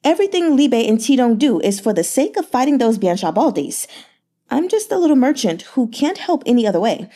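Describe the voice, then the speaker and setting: A woman voicing a fawning, smooth-talking young male merchant with a lilting, wheedling way of speaking. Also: fairly high pitch, sing-song, fairly quick, mellow, pitched above the performer's own voice. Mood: ingratiating. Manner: lilting, wheedling, full of little interjections.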